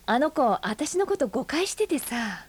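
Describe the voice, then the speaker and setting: An actress playing a frank, breezy aunt who teases and speaks bluntly in a radio drama. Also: female voice, high-pitched, very animated, unhurried. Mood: bantering. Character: blunt, teasing independent aunt.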